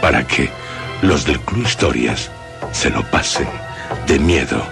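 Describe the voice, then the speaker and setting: A man hosting a radio show with dramatic scripted narration, phrased deliberately and theatrically with suspenseful pauses. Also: extremely low pitch, expressive, vocal fry. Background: music.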